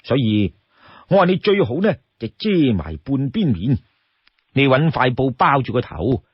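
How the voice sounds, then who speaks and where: A man plays a shrewd young outlaw from a storybook, exasperated and firm as he lays down rules. The voice is husky and admonishing, close and dry, with a slightly dull sound. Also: medium pitch, big pitch swings, measured pace, no echo. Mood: stern. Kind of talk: Cantonese storytelling character voice.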